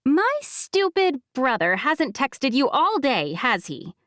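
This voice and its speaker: A feisty little young woman, as a woman narrator puts her on, bright and high, who teases and threatens with a grin. Her lines bounce with lively pitch, read close to a dry microphone. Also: slow pace, no echo, full character voice. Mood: prodding. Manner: blunt, teasing, fiery. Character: sassy petite young woman friend.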